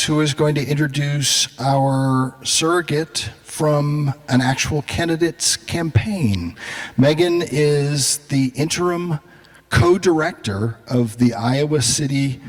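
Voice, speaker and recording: Man moderator, slow and deliberate, slightly high voice, hall echo. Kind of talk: moderating a public forum. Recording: reverberant hall.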